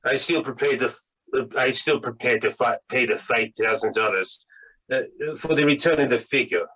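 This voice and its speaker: An actor playing a polite, nervous schemer in a 1940s radio drama, speaking formally with halting stammers. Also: male voice, medium pitch, fairly bright tone, natural voice, rough recording. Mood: nervous, courteous. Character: polite, nervous, insistent male foreign schemer. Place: video call read-through.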